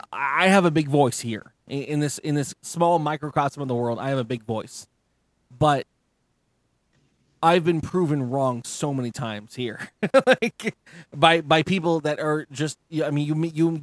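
A man hosting a podcast in a casual chat, animated and blunt, swearing and restarting his sentences. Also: medium pitch, no echo. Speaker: podcast host.

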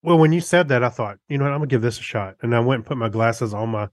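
A middle-aged man co-hosting a podcast, chatting casually and haltingly as he thinks aloud. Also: mid-range voice, no echo, clean studio sound. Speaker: podcast co-host. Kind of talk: casual chat about gadgets.

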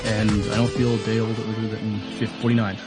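A man's voice: on the low side, flat delivery, moderate pace, over music. A man co-hosting a podcast in a casual, chatty style. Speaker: podcast co-host. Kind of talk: casual chat.

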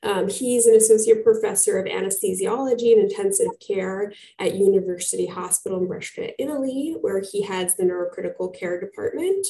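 Gravelly-voiced woman introducing a speaker. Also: medium pitch, fairly quick, few pauses, warm tone. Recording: roomy, echoey.

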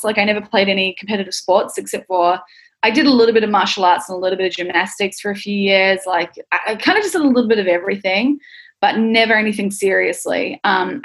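A young adult woman with a New Zealand accent, talking casually, candidly and thoughtfully about herself as an interviewed athlete and coach. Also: medium pitch.

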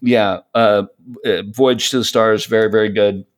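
Male podcast guest, low-pitched voice, casual and rambling, feeling around for the right words. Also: fairly even intonation, measured pace. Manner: rambling, hesitant searching for words. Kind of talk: casual podcast chat, recommending audio dramas.

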